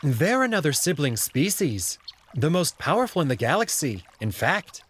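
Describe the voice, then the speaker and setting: A man's voice: on the high side, sing-song, normal speed, fairly bright tone, husky, full character voice. A man voicing a friendly, well-spoken alien servant in a visual novel, explaining things politely. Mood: earnest. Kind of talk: visual novel character reading.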